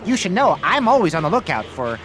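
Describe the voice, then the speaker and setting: A man's voice: high-pitched, very animated, brisk, background noise. A man voicing an eccentric, whimsical young painter who rambles playfully, in a game cutscene. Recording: game cutscene over music.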